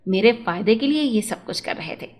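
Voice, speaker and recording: A woman's voice: medium pitch, expressive, a little fast, pitched below the performer's own voice, lightly acted. A woman voicing an affectionate, grateful male shopkeeper in a storybook tale, speaking earnestly. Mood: regretful, fond.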